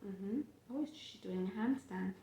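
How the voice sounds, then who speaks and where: A woman reading a picture book aloud to a child and chatting about it, with expressive, drawn-out emphasis on phrases. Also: mid-range voice. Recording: roomy, echoey home recording.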